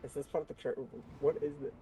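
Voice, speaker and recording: A game streamer giving casual, excitable, slangy reaction commentary during a playthrough. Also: mid-range voice, fast. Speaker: live streamer playing a game. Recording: game music behind the voice.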